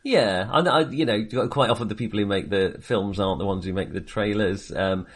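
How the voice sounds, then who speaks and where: A man's voice: lowish voice, moderate pace. A man chatting casually as co-host of a film review podcast, speaking in a hesitant, self-qualifying way.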